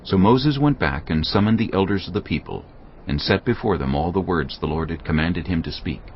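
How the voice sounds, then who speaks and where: A man narrating a dramatized Bible reading in a calm, steady storytelling manner. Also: deep voice, fairly quick, slight background noise. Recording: muffled audio under orchestral music.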